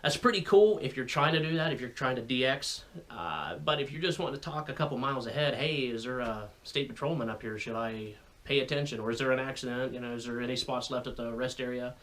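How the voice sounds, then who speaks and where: Male hobbyist vlogger, American, mid-pitched voice, folksy and colloquial, explains technical things informally. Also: brisk, a bit bright. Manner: folksy, plain-spoken, colloquial. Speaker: radio hobbyist video host.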